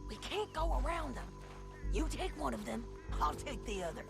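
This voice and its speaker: A woman's voice: high-pitched, animated, moderate pace, vocal fry, loud music behind the voice, heavily acted. A woman playing a tough, wry, plainspoken bounty hunter with an American accent in a western video game. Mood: tense, hushed. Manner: plainspoken, commanding, folksy.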